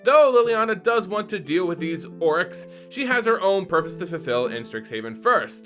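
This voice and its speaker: A man's voice: on the high side, fairly bright tone. A man hosting a channel and giving a theatrical, playful dramatic reading of a story. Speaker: channel host and narrator.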